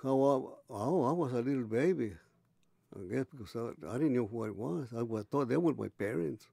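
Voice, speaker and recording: A man in his eighties recalling his early life in an oral history interview, halting and restarting as he searches for words. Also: mid-range voice, animated, gravelly, warm tone, dry recording, studio quality. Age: 80s. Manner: halting, many restarts and pauses.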